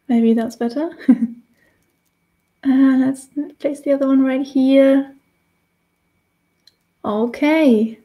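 A woman's voice: a little high, dark tone. A woman in her thirties with a German accent, casually teaching face painting on a live stream in a small room, chatty and laughing often. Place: small indoor room with decorated wall.

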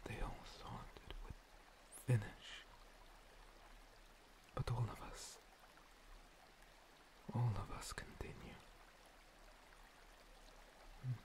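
A man playing an ancient, gentle monster priest, a scarred former paladin, in a breathy, halting, soothing ASMR roleplay whisper. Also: mid-range voice, fairly even intonation. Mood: tender, wistful. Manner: slow, soothing, halting pauses. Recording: water streaming and pouring behind. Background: running water.

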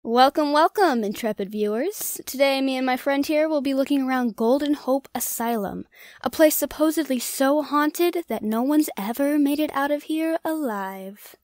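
A woman's voice: sits high, moderate pace, a little mellow, no echo, studio quality, natural voice. A woman playing a brash young ghost hunter. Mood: showy. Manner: brash, teasing, talks herself up. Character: cocky young woman ghost-hunting vlogger. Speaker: voice actor in an audio drama. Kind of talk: audio drama acting.

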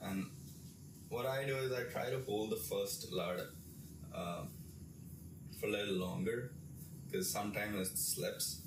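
A young man giving a casual how-to demonstration, explaining step by step in a halting way as he works with his hands. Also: lowish voice, background noise. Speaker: tutorial presenter. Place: small bedroom, indoors. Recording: roomy echo, distant mic, music behind. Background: background music.

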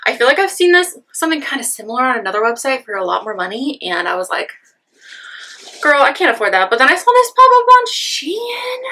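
Bubbly, bright-voiced sniffly woman vlogger. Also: high voice, big pitch swings, a little fast, slight background noise. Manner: bubbly and gushing, many "so cute" exclamations. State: sniffly from a sinus infection. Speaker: fashion haul vlogger. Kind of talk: casual try-on haul chat. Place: decorated bedroom studio with clothing racks.

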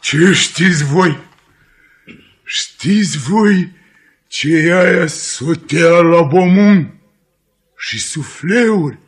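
An actor playing a proud, bitter, drunken old cast-off cook, ranting plaintively in a Romanian radio play. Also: male voice, a little high, pauses often, natural voice. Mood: boastful, aggrieved. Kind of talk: Romanian radio theatre acting. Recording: 1950s radio theatre recording.

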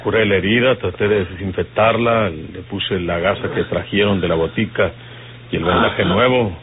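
An actor in an old radio drama playing a resolute, plain-spoken rustic outlaw leader. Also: male voice, low-pitched, normal speed, slight background noise, lightly acted. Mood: grave. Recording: tinny old radio recording with music.

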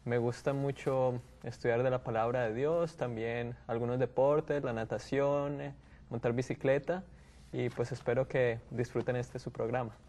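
A young man from Colombia introducing himself on a studio panel in a relaxed, unhurried way. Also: mid-range voice.

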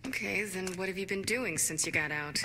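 An actress playing a tough gang leader in a video game, talking with dry sarcasm. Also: female voice, medium pitch, fairly quick, big-room sound, background noise, natural voice. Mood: casual, wry.

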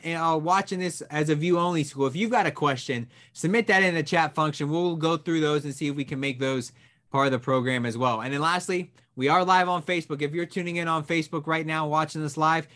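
Young male host, energetic and upbeat, slightly higher voice, webcam in a small brick-walled room. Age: young adult. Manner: enthusiastic, energetic, talking with his hands. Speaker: host of a school program. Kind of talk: upbeat presenter introduction and interview.

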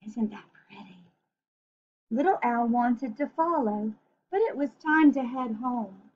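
A woman reads a picture book to young listeners at a very unhurried pace, in a fairly high voice. She is gentle and expressive, breaking off for chatty asides. The webcam recording is a little dull and the voice is somewhat husky. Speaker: storyteller reading a picture book. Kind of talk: read-aloud story time with chatty asides for children. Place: small room at home. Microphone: computer webcam at arm's length.

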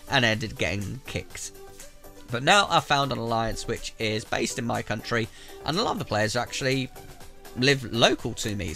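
A man sounding bunged up from a cold, talking viewers through a strategy game in a chatty, direct way. His voice is bright and forward, with faint music playing underneath. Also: mid-range voice. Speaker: gaming YouTuber. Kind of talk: casual game tutorial narration. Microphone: voice-over over gameplay capture.

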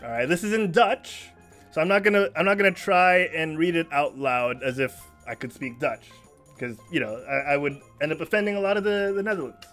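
A man with a notably high voice hosts a casual gaming podcast over a video call. He reads listener reviews with playful, theatrical announcing while faint music plays underneath. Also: a little fast, a bit bright. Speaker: podcast host.

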